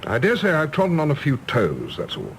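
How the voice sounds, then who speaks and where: An actor playing a guarded, impatient grown son and diplomat in a radio drama, clipped and dryly understated, with an English Received Pronunciation accent. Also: male voice, a little high, wildly swooping pitch, brisk, slight background noise. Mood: dry, defensive. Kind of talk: British radio drama acting.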